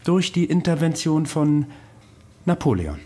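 Husky, deadpan immortal man. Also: fairly high pitch. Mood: wry, rueful. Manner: dry, deadpan, deliberate. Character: weary, matter-of-fact immortal man recalling his past. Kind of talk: German radio drama dialogue.

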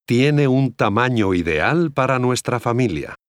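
Slow, expressive man modelling phrases. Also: medium pitch, dry recording, studio quality. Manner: deliberate, clearly enunciated. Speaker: language course model speaker. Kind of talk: scripted phrase modelling for learners.

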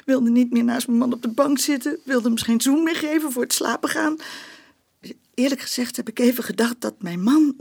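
A woman playing a guarded, uneasy mother in a radio drama, slightly husky and hesitant. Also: a little high, normal speed, darkish tone. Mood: distressed. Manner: hesitant, defensive. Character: guarded, uneasy mother, a former sex worker.

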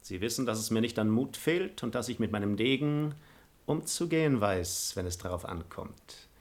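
A man's voice: on the low side, expressive, moderate pace, lightly acted. An actor playing an arrogant, hypocritical libertine nobleman in a classical radio play, declamatory and falsely pious. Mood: coolly threatening. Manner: declamatory, feigned piety.

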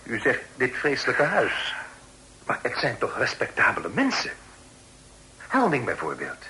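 An actor playing an earnest, cautious young gentleman in a period radio drama, speaking in a formal, measured way. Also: medium pitch, huge pitch swings, exaggerated voice. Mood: earnest, sympathetic. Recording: noisy old radio drama recording.

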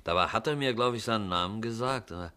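Nonchalant reporter, deep voice, wry and flippant; vintage radio crime play. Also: male voice, fast talker, sharp tone, clean tone. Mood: defensive. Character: flippant, easily bored male newspaper reporter. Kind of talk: 1950s German radio crime drama acting.

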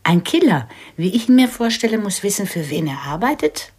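A woman's voice: medium pitch, big pitch swings, brisk, a little mellow, slightly rough. An actress playing an earnest, thoughtful mother who aspires to be a principled hired killer, in radio play dialogue. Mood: musing, earnest.

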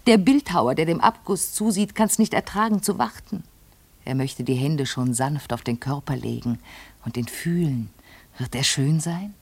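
Radio play: obsessive, vain madame, raspy creaking voice, theatrical intensity, addressing her servant. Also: sits low, expressive, normal speed, a little mellow, heavily acted. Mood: feverish, yearning. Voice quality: husky, breathy, creaky. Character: vain, obsessive lady fearful of ageing. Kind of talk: literary German radio play monologue.

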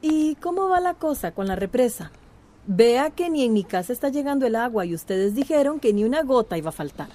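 An actress playing a self-serving, imperious and demanding small-town mayor in a radio drama. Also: female voice, fairly high pitch, big pitch swings, a little fast, dark tone. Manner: haughty, demanding.

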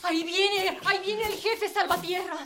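Una actriz que interpreta en una radionovela a una campesina de hacienda agraviada y vengativa. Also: female voice, very high voice, steady tone, moderate pace, a crowd in the background. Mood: alarmed. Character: aggrieved, vengeful peasant woman on a hacienda. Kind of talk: radio drama acting.

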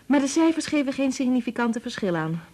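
A kind, protective scientist mother in a radio drama. Her voice is husky and very melodic, rising and falling widely as she patiently explains. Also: high-pitched, sing-song, poor audio. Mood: friendly, explaining. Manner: gentle, measured explaining. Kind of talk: Dutch radio play acting.

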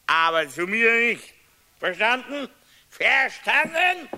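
An actor playing a tipsy, blustering husband with a Bavarian accent in a radio play, talking in a muttering, scornful drawl. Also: male voice, very high-pitched, sing-song, slow pace, bright, forceful tone, a little hiss or hum, exaggerated voice. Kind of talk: 1970s radio play acting.

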